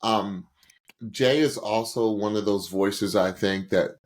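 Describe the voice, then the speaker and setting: A man's voice: lowish voice, slow pace. A man co-hosting a podcast, giving casual reaction commentary in a gushing way and repeating words for emphasis. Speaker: podcast co-host.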